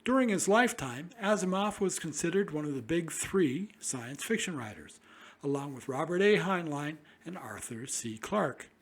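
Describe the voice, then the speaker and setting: Male host, formal announcer read, slightly high, husky voice. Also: animated, moderate pace. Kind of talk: scripted program introduction.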